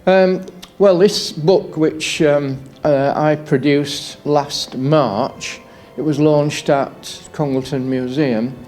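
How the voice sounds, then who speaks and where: A man explaining his work in a measured way in an interview. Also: a little high, steady tone, darkish tone, faint music behind. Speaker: interviewee, local historian and author.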